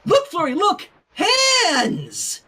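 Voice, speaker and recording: Man voicing a flustered teenage girl, animated high pitch. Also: drawn-out words, noisy background, exaggerated voice. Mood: anxious, soothing. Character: anxious, flustered teenage girl babysitting a toddler.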